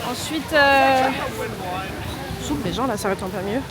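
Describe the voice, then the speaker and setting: A young woman vlogging casually at a theme park, talking frankly and relaxed, with plenty of hesitant fillers. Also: high-pitched, normal speed. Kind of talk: casual travel vlog chat. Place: outdoors at a busy theme park. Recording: loud crowd and park music behind.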